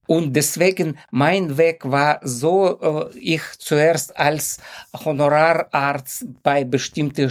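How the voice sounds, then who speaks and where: Slow, halting man with a Ukrainian accent. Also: fairly high pitch. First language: not native in German. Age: middle-aged or older. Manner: halting, searching for words, simple phrasing. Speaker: sports doctor, interview guest. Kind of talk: conversational interview.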